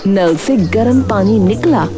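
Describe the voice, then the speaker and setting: A woman reading scripted story narration for an animated tale. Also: medium pitch, slow pace. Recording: clear background music under voice. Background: background music.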